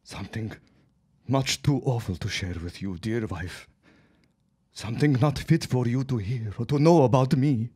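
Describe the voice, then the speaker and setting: A man's voice: mid-range voice, normal speed, warm tone, breathy edge, natural voice. An actor playing a troubled, remorseful immigrant husband and reluctant henchman in an audio drama, speaking in a formal, melodramatic, lamenting way. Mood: anguished. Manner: melodramatic, lamenting, formal old-fashioned phrasing.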